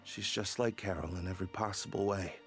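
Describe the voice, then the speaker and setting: A man playing a stern, measured guard captain with a dour manner in a video game. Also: deep voice, fairly even intonation, faint music behind. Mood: grave, brooding. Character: stern, cool-headed male guard captain, quietly grieving and vengeful.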